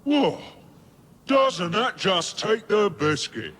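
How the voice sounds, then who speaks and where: An actor playing a gigantic, dim-witted battle robot, hesitant and boastful, in a science fiction radio comedy. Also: male voice, high voice, big pitch swings, slow pace, a bit bright, full character voice. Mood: indignant, boastful. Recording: archive radio drama with crowded sound effects.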